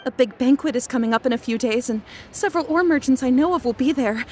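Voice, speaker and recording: An actress voicing a flustered, apologetic young merchant in a video game who stammers anxiously. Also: female voice, high-pitched, fast, a little hiss or hum, lightly acted. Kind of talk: game cutscene voice acting.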